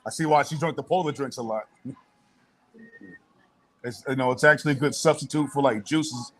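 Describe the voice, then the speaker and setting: A man in his thirties from Boston, a podcast guest with a relaxed, laid-back way of talking who laughs easily. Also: mid-range voice, moderate pace, low-quality recording. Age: 30s. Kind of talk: casual chat.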